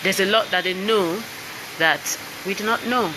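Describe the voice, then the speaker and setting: A woman with a Nigerian accent and a mid-pitched voice talks to viewers, chatty and encouraging, often asking "all right?" to check they follow. The noisy live-stream sound carries a steady background hiss. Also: moderate pace. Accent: Nigerian English. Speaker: singer and voice coach presenting her book. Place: indoors with piano and laptop.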